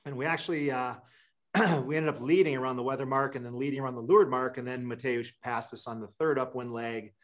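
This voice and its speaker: A man's voice: medium pitch, fairly even intonation, fast talker. A middle-aged man from Wisconsin, a sailor answering interview questions in a loose, rambling way with many fillers and restarts, over a webcam. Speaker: guest sailor. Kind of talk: casual interview talk. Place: indoor room.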